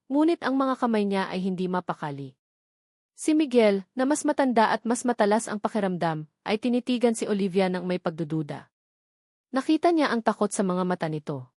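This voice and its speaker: A woman narrates a suspenseful romance story in a dramatic, scripted style. Her voice sits at a middle pitch and is recorded close and dry, with no room sound. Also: darkish tone, dry recording.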